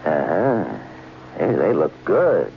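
A man's voice: low-pitched, very animated, takes it slowly, a little hiss or hum, exaggerated voice. An actor playing a small-time con man who narrates his own story in brash, sardonic, hard-boiled tones in a radio drama. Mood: wary. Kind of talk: 1940s radio acting. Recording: muffled old radio recording with loud music.

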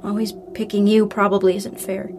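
A woman's voice: medium pitch, level tone, moderate pace, lightly acted. A woman playing a young, grieving daughter, wounded and earnest, in a naturalistic audio drama. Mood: hurt, grieving. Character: grieving daughter, wounded, angry yet willing to reconcile. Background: music.

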